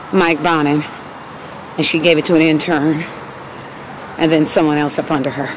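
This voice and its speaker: A woman from Los Angeles with a Californian accent, narrating a casual handheld video as an aggrieved local resident in a matter-of-fact way. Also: sits low. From Los Angeles, United States. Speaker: local resident documenting a noise problem. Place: mostly outdoors walking along apartment alley. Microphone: handheld phone. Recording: noisy outdoor phone recording, street noise.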